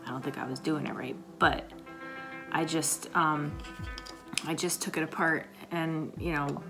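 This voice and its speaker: A woman with a slightly raspy voice chatting casually to camera in her kitchen, rambling with lots of fillers. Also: low-pitched, fairly even intonation, unhurried, over music. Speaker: vlogger, paramedic. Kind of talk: casual coffee chat to camera. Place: home kitchen, indoors.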